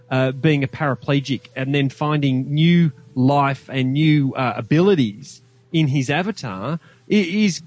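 A man with an Australian accent giving his opinions in a casual film discussion, emphatic and reasoning aloud as he goes. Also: fairly high pitch. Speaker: podcast guest reviewing a film.

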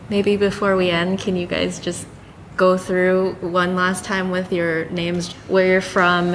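A woman hosts a casual podcast chat, speaking slowly and loosely with a lowish voice. Her voice rings in a reverberant hall, with clear ambient noise around her. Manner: slow, laughing, loose. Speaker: podcast host.

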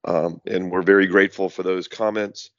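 A middle-aged man with a hoarse voice, hosting a podcast in a rambling way with many fillers and restarts. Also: sits low, flat delivery, normal speed, a bit bright, no echo, highs cut off. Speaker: podcast host. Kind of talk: casual podcast monologue.

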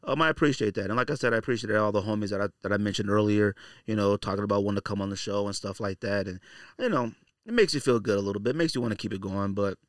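A man's voice: on the low side, rapid-fire, no room sound, clean studio sound. A man hosting a solo podcast, talking casually and directly to listeners in slangy, opinionated speech, repeating phrases for emphasis. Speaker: podcast host.

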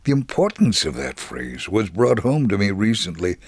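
A man playing a devout older man recounting his life in a dramatic reading, with a gravelly, husky voice. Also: lowish voice, very animated, moderate pace, dry recording, heavily acted. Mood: reflective, devout. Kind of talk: dramatic first-person reading.

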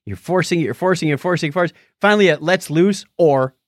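A man's voice: on the high side, fast, dry recording, studio quality. A man co-hosting a podcast, talking casually and playfully as he tells stories and reads out listener comments. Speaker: podcast co-host.

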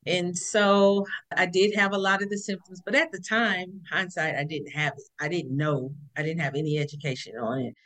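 A woman from Houston, Texas, a podcast guest calling in remotely, telling a personal story in an animated way and acting out what people said. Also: lowish voice, normal speed, few pauses. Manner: animated storyteller, acts out quotes.

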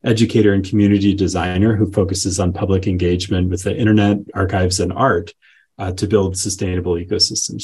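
Guy moderating a panel, really low husky voice, measured, pauses a lot while doing intros. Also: warm tone. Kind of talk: semi-scripted spoken introduction.

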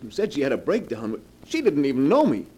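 Distraught young-sounding husband, pleading, halting, animated swoops, soft dark husky tone, vintage radio drama. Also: male voice, medium pitch, rough recording. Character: anguished, bewildered husband of a stricken woman. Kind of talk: 1940s radio melodrama acting. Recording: vintage 1940s radio broadcast.

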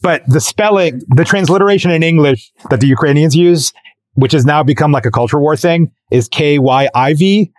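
A man's voice: on the high side, normal speed, no room sound. A man guesting on a casual panel podcast, careful and explanatory, a little self-deprecating.

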